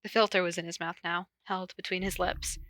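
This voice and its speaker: Bright-voiced woman reading casually. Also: lowish voice, level tone, brisk, dry recording. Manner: informal, giggly, self-interrupting. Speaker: podcast host reading fan fiction aloud. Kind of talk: casual cold reading of stories and chat with co-host.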